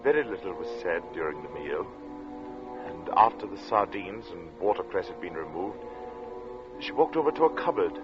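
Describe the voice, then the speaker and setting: Deep-voiced solemn radio drama narrator. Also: male voice, lively intonation. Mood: measured, puzzled. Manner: measured, solemn, theatrical. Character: earnest male narrator recounting a strange encounter. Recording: muffled vintage radio recording with music.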